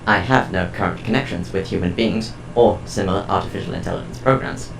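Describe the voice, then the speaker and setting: A man playing a calm, formal artificial intelligence in an audio drama, speaking in precise, measured sentences. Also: low-pitched, creaky, echoey room, over music, poor audio. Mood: reflective, measured.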